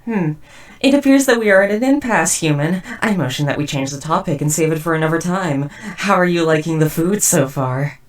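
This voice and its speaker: A woman's voice: low voice, expressive, a little fast, distant mic. A woman playing a socially awkward, devoted but murderous robot house spouse in a roleplay audio drama, speaking in stiff, wordy, formal phrases. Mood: attentive, matter-of-fact. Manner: stiff, verbose, overly formal wording. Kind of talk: scripted roleplay audio drama addressed to listener.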